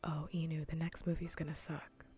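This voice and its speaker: A woman with a fairly low voice hosts a casual ASMR livestream. She speaks softly and breathily, mostly in a whisper. Her talk is informal and rambling, with phrases repeated for emphasis. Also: level tone, normal speed. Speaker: livestream host. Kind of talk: soft-spoken ASMR casual livestream chat.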